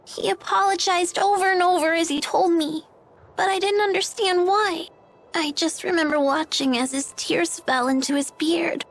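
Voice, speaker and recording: Very high, shy little girl. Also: moderate pace. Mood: sorrowful. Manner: soft-hearted, hesitant. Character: shy, gentle, sad little orphan girl. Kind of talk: anime game dub acting. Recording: music behind.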